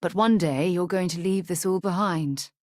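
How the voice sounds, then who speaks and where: Actress as low-voiced, composed woman space explorer. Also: a little mellow, dry recording, studio quality, close to an everyday voice. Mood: earnest, disapproving. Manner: articulate, formal, measured phrasing. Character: composed, principled woman space explorer, earnest, dryly disapproving. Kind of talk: scripted video game voice acting.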